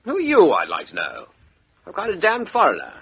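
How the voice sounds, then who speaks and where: Blustering English army major, upper-class, dismissive and slippery, animated delivery, muffled radio mystery sound. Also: male voice, high voice, a little fast, gravelly, slightly rough, full character voice. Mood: indignant, blustering. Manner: clipped, indignant, dismissive. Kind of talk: radio mystery drama acting. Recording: dull, muffled radio drama recording.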